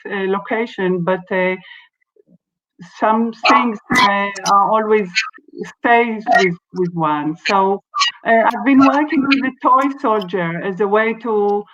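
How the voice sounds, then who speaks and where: A woman from Israel, an artist and researcher, answering a question in an online seminar with many pauses and restarts. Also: medium pitch, a bit bright, lo-fi sound, slight background noise. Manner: hesitant, many fillers and restarts. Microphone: video call.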